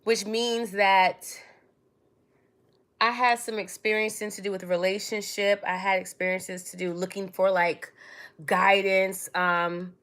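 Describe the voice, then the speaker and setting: A woman's voice: medium pitch, flat delivery, slow pace, fairly bright tone. A woman, born in Trinidad and raised in New York City, chatting casually to viewers on her own livestream and calling them darling and baby. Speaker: livestream host.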